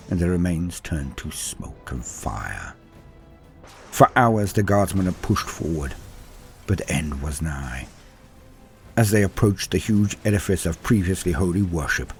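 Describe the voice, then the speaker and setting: A man's voice: low-pitched, a little mellow. A man narrating scripted war fiction in a grave, theatrical manner, letting his phrases fall away at the end. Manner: grave, theatrical storytelling with falling phrase endings. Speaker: narrator and storyteller.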